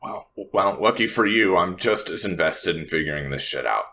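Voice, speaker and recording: A man playing a blunt, wary, wisecracking woman in a tabletop game, speaking in a halting, sweary, skeptical way. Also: low-pitched, animated, pushed and bright, exaggerated voice. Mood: determined.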